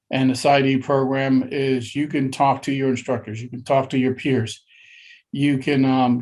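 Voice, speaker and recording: A man presenting a webinar, talking informally and haltingly with many pauses. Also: medium pitch, low-quality recording. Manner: halting, many fillers and restarts.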